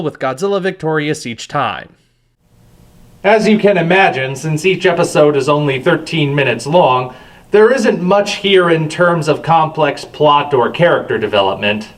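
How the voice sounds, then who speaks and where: A man hosting a video review, reading scripted narration with wry, dry asides. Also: a little high.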